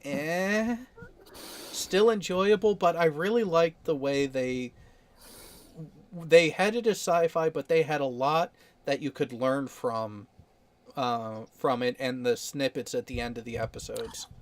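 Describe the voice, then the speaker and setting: Chatty man hosting a podcast, slightly higher voice. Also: measured pace, slight background noise. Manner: chatty, explains things, opinionated about sports. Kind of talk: casual chat.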